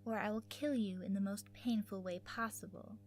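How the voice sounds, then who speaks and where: A woman's voice: medium pitch, fairly even intonation, moderate pace, a little mellow, natural voice. A woman acting a menacing, impatient princess in an amateur audio drama, cold and threatening. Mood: irritated.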